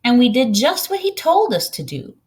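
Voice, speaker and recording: Woman voicing a kindly old farm woman, animated lilt. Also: on the high side, pitched above the performer's own voice. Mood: proud, earnest. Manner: folksy, unhurried storytelling.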